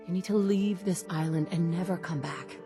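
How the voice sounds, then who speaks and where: An actress playing a calm, level-headed wanderer in a video game, reasoning and probing in a persuasive way. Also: female voice, fairly low pitch, moderate pace, natural voice. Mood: earnest. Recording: faint music behind the voice.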